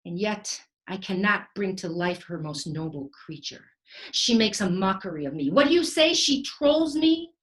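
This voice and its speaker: A woman playing a vain, playful Renaissance genius in a theatrical comic monologue, with florid delivery, dramatic pauses and sprinkled Italian words. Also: medium pitch, lively intonation, natural voice. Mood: rapturous, then boastful, indignant. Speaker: stage actor.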